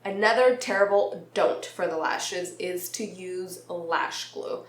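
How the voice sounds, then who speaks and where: Slightly raspy young woman, roomy sound. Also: on the low side, takes it slowly. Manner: chatty, laughs mid-sentence. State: recovering from illness, nasal and raspy. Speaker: beauty vlogger. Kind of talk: casual talk to camera.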